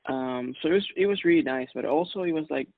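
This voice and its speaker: A man from Ecuador, in his thirties, talks through his story in a loose, easygoing way, full of fillers and quick laughs. His voice has a gravelly edge. The sound is dry and narrow, like a phone line. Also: a little high, no echo. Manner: relaxed, chatty, laughs often, many fillers. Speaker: guest, music producer. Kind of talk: casual interview answers.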